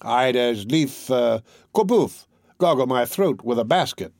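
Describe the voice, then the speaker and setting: A man's voice: medium pitch, animated, measured pace, gravelly, darkish tone, dry recording, clean studio sound. A man voicing a brash, boastful, drunkenly jovial young male vagabond in armor, with a swaggering, declamatory manner, in a storybook reading. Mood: boastful, rowdy. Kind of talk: storybook character voice in an audiobook.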